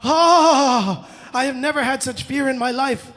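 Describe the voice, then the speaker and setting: A man voicing a great, proud but cowardly tiger in an animated oral story. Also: very high-pitched, a little slow, pitched above the performer's own voice. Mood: frightened. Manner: boastful, pompous, dramatic. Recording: very reverberant hall.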